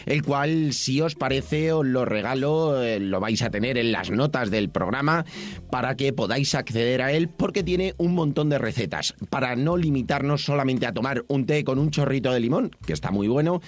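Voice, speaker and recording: A man's voice: medium pitch, moderate pace. A man giving an upbeat, friendly segment as a tea shop founder. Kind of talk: prepared spoken segment.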